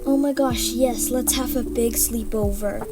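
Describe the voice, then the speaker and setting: A woman's voice: on the high side, fairly even intonation, measured pace, lightly acted. A woman voicing a forgetful, easily scared girl hosting a sleepover in an amateur role-play story, with slangy, dramatic delivery. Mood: chatty. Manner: slangy, dramatic girly talk. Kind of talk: amateur toy-app role-play voice acting. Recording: loud music under the voice.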